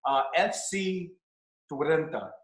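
A man's voice: a little high, lively intonation, extremely slow, roomy sound. A young man hosting a casual interview over a video call, upbeat and chatty, on a desk mic in his home room. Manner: upbeat, chatty, frequent "you know" and "wow". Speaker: podcast host. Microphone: podcast mic on stand, headphones.

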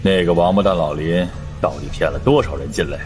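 A man voicing a gruff, terse leader of an armed team in an audiobook, with long reverb. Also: low-pitched, a little fast, a little mellow, soft background music, natural voice. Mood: irritated.

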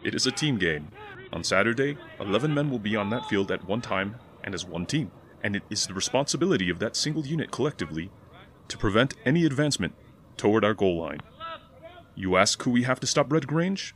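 An actor playing a stern, high-minded older 1920s college football coach in an audio drama, speaking American English with formal, pompous oratory. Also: male voice, very animated, fairly quick, a bit bright. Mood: solemn, assured. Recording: clearly noisy background ambience.

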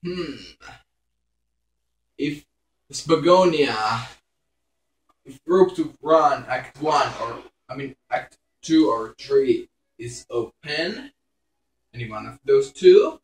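A man giving casual playthrough commentary, hesitant and muttering to himself as he plays. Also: medium pitch. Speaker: gaming YouTuber. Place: indoors, filming a screen with a camera. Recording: echoey room, faint game music, screen filmed.

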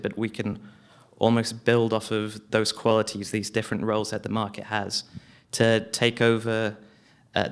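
A man's voice: on the low side, creaky. Hesitant young man on a panel. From London. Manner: hesitant, many restarts and ums. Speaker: community campaigner. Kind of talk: panel discussion. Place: small hall, on stage. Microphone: handheld mic.

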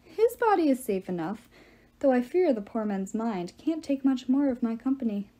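A woman voicing an ancient male giant in an audiobook, mocking, patient and menacing, with deliberate mock courtesy. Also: fairly high pitch, very animated, dark tone. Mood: mocking menace. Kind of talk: audiobook character voice.

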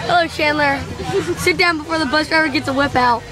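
A man's voice: very high-pitched, a little fast. A young American teenage boy vlogging casually on a phone, chatty and full of slang. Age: young teenager, middle schooler. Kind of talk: casual handheld vlog chat. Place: crowded moving school bus. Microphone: handheld phone at arm's length. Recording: noisy phone recording, loud bus chatter.

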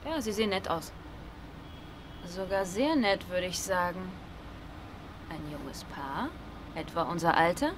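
An actress playing a quick-witted, status-conscious young wife in German-dubbed sitcom dialogue, brisk and wry. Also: female voice, fairly low pitch, close to an everyday voice. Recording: TV soundtrack with loud crowd noise.

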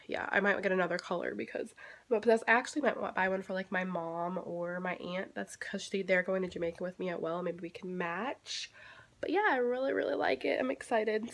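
A woman's voice: mid-range voice, no room sound. A young woman chatting casually into her phone camera in a daily vlog, rambling with many fillers and self-corrections. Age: young adult. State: congested, stuffy. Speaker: vlogger. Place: parked car, indoors at home earlier. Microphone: phone camera at arm's length.

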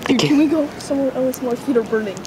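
A man's voice: very high voice, fast talker, noisy background. A teenage boy teasing his friend in laid-back casual chat at the beach.

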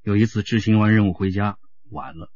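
An actor playing an earnest, steady male police detective in a radio drama. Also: fairly low pitch, a little fast, dry recording, dull audio, lightly acted. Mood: pensive. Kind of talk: Mandarin radio drama acting.

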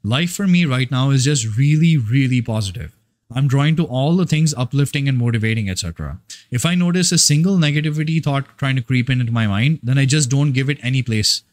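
A man's voice: mid-range voice, fairly quick. Rambling, profane male fitness coach. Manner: rambling, profane, emphatic, with frequent restarts. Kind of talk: casual motivational monologue.

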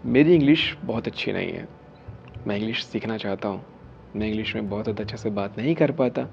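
A man's voice: on the low side, fast talker, vocal fry, highs cut off, faint music behind. A man in his thirties, a healer, explaining a method to camera in an earnest, instructive way, sometimes lifting his voice for emphasis and sometimes dropping to a whisper. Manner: earnest, explanatory, rising emphasis on key phrases, drops to whispers. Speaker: spiritual healer and video host. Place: studio set with dark curtain and statue.

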